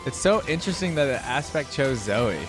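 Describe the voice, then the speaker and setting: A man's voice: mid-range voice, animated, fairly quick, music in the background. A man hosting a reaction video in casual commentary, enthusiastic and often exclaiming.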